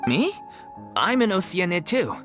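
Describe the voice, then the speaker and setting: A man's voice: high voice, very animated, unhurried, fairly bright tone, faint music behind, exaggerated voice. Easygoing hefty young actor guy. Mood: upbeat. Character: hefty easygoing young male stage actor, good-natured, food-loving. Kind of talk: scripted video game voice acting.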